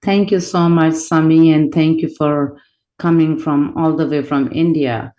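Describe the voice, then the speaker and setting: A woman's voice: low-pitched, steady tone, a little slow, warm tone. A woman hosting an online meeting over a video call, directing who speaks next. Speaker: moderator of an online orientation meeting.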